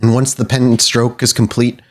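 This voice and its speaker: A man's voice: medium pitch, flat delivery, normal speed, slight background noise. A man, improvising narration as the storyteller of a tabletop game.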